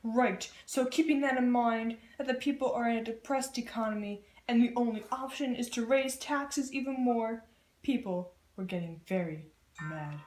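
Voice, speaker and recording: A woman's voice: a little high, moderate pace, darkish tone, slight background noise. A young woman narrating a scripted educational puppet show in an informal, chatty explaining way. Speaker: student presenter. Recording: echoey room, slightly distant mic.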